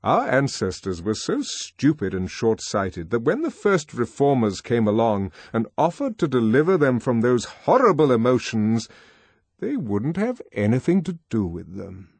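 A man's voice: medium pitch, very animated, unhurried, slightly rough, no room sound, voice pushed up, full character voice. A man voicing a commanding, lecturing male ruler who addresses a group of boys. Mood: lofty. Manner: oratorical, lecturing. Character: commanding male world controller, older, lofty.

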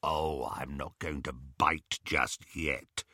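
A savage werewolf thug from a storybook. The voice is a low, rasping growl that drags slowly over words, gloating and menacing. It sounds close and dry. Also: male voice, steady tone, bright, forceful tone, dry recording, heavily acted. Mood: sinister relish. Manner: slow, relishing, menacing.

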